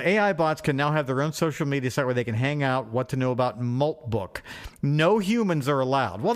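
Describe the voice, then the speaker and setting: A man co-hosting a podcast in a casual, comic phone chat, excitable and joking, with casual swearing. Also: mid-range voice. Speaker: podcast co-host.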